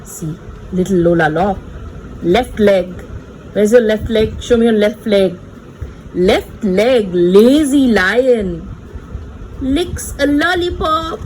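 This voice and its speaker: Female kids' read-aloud presenter, slow and sing-song, playful teacher delivery, faint noise behind. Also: mid-range voice, animated, darkish tone, low-quality recording. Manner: sing-song, playful, teacherly, repeats words and points things out. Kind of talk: animated picture-book reading for small children.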